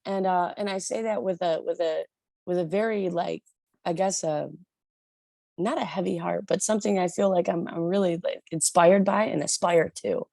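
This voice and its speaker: A woman from the Detroit area, a rock singer with a low voice, chatting loosely in an interview with many restarts. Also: steady tone, moderate pace, gravelly, a little mellow, no echo, clean studio sound. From Detroit area, USA. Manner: rambling, many restarts and fillers. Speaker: rock band lead singer, guest. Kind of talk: casual interview chat.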